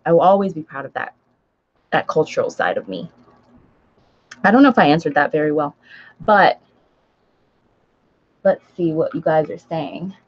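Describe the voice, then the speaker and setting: A woman's voice: low-pitched, expressive, moderate pace, pauses often, lo-fi sound. A woman with an American accent chatting casually on a livestream, speaking emphatically and repeating phrases for stress. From Nigeria until age seven, then raised in the United States. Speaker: book vlogger and writer hosting a livestream. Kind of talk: casual livestream chat, responding to comments.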